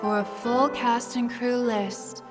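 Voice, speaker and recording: Female podcast announcer over loud music. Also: fairly high pitch, fairly even intonation, slow pace. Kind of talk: scripted show announcement. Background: new-age music.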